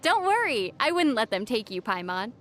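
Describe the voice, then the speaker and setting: A woman playing a young female traveler in a video game, gently teasing and reassuring, with a playful, dry manner. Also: on the high side, very animated, normal speed. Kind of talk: video game character dialogue.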